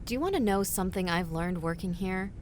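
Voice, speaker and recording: A woman's voice: on the low side, expressive, a little hiss or hum, natural voice. A woman voicing a cold, smug spy leader who threatens her captors in an audio drama. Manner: smug, menacing, measured.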